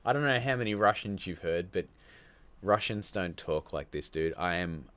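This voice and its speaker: A man's voice: low-pitched, lively intonation, normal speed, a bit bright, dry recording. An Australian man hosting a live stream, rambling casually as he reads out viewer comments and answers them with frequent laughs. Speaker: livestream host.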